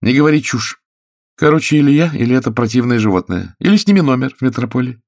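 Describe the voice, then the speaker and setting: Casting: male narrator as a theatrical, spiteful woman of the stage, raised pitch, lively swings, audiobook dialogue. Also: quick pace, no room sound, studio quality, close to an everyday voice. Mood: scolding, pressing. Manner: spiteful, ironic, melodramatic. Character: haughty, shrill actress woman, venomous and theatrical.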